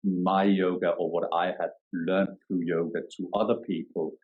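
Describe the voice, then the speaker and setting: A man, a yoga teacher talking thoughtfully in a podcast interview, often pausing and restarting as he searches for words. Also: deep voice, flat delivery, narrow, tinny audio. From Denmark. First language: Danish.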